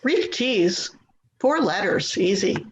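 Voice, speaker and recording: A woman's voice: medium pitch, very animated, slow pace. Woman acting exasperated daughter, echoey dull recording. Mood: coaxing. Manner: nagging, put-upon. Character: exasperated, worrying adult daughter of an elderly mother. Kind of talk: readers theater stage-play acting. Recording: echoey room, dull sound, faint noise.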